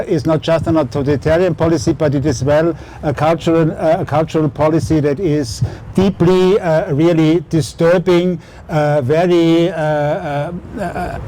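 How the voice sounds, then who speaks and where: A man moderating a public talk outdoors, halting and full of fillers. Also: on the high side, dark tone, slight background noise. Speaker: event moderator. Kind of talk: public talk on a city tour. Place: outdoor city square.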